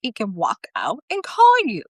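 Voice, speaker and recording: A woman's voice: medium pitch, huge pitch swings, a little slow, husky, no echo, studio quality, exaggerated voice. Woman reading as a bored, bossy cat boss. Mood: blunt. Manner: blunt, teasing, matter-of-fact.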